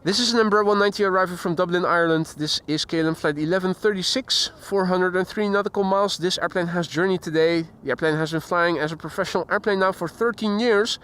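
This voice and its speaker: A man's voice: sits high, quick pace, hardly any pauses, a bit bright, clear, resonant tone. A man hosting a casual live stream outdoors, chatting with viewers and greeting them by name. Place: outdoors near an airport. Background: faint outdoor traffic and voices.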